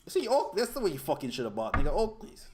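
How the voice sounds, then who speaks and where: A man from Jamaica chats and jokes on a podcast, with a lively voice that swings up and down in pitch. He talks loosely, swears a lot and laughs often. Faint music plays under the talk. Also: fast talker. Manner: laid-back, swears freely, laughs often. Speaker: podcast co-host. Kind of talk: casual banter.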